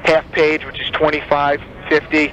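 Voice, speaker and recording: Bright, forceful male phone salesman. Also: a little high, completely flat delivery, moderate pace. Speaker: telemarketer selling advertising. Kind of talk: sales pitch over the phone. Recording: dull phone line, faint noise.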